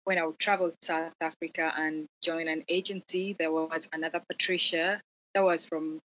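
Woman from uganda, lowish voice, just telling how she got started, steady. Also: moderate pace, low-quality recording. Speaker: fashion model, guest. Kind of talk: casual conversation.

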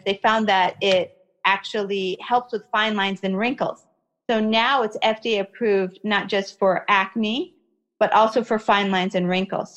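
Woman explaining skincare, "you know" often. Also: mid-range voice. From Iraqi family, raised in America. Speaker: skincare expert guest. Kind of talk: conversational interview, explaining.

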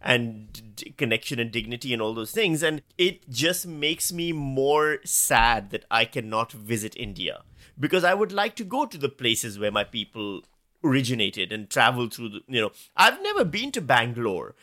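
Animated man, bright and lively. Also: mid-range voice, normal speed. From Pakistan. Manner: self-mocking, animated storyteller, laughs often. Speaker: podcast co-host. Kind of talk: casual comedic chat.

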